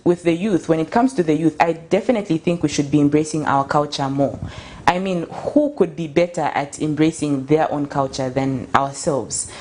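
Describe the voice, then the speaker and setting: A woman's voice: deep voice, a little mellow. A young Zambian woman, an author guest, talking earnestly and passionately in a casual interview, with frequent restarts. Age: young adult.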